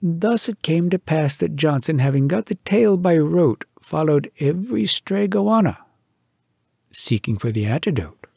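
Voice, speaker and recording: Expressive man reciting verse, lively pitch. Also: a little slow, creaky, breathy edge, no echo, clean studio sound. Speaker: poetry reader. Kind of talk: dramatic storytelling recitation of humorous verse.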